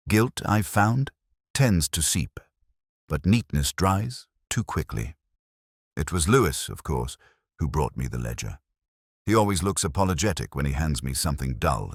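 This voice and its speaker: A man with an extremely low, slightly husky voice narrates a detective story. His delivery is reflective and measured, yet his pitch moves in a lively way through each literary sentence. The recording is dry and close. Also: dry recording. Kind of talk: first-person scripted detective story narration.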